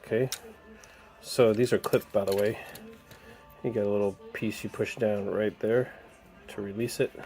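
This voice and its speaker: A man's voice: fairly low pitch, steady tone, moderate pace, pauses often, mellow, soft background music. A man casually narrating a hands-on repair, thinking aloud in an informal way as he works. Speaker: DIY repair video maker. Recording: clearly noisy home video recording.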